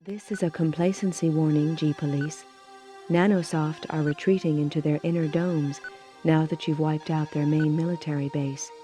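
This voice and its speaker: An actress playing a stern police commander, delivering a formal, authoritative scripted mission briefing in a video game. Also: female voice, sits low, steady tone, moderate pace, mellow, lightly acted. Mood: stern, businesslike. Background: music.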